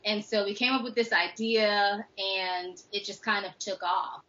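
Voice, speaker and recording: A woman as a guest on a show, speaking from home over a video call, relaxed and matter-of-fact. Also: mid-range voice, a little slow, a little hiss or hum. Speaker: guest, a mother. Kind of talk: casual interview answer. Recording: dull video call audio.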